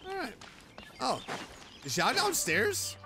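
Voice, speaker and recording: Male streamer: high, bright, expressive game reactions with faint music behind. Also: slow. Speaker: streamer, VTuber. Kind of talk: game playthrough commentary.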